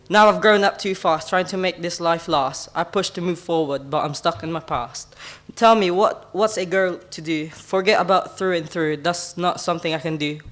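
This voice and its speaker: A man's voice: fairly high pitch, a bit bright. Teenage boy reciting a rhyming poem. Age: teens. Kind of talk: reciting his own poem.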